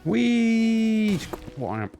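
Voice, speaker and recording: A man talks casually to his live audience while gaming. His voice sits a little high and moves around a lot in pitch, with a playful, exclamatory delivery. Faint game music plays behind him. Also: very animated, very slow, creaky, warm tone. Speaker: game streamer. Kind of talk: casual live gameplay chat with viewers.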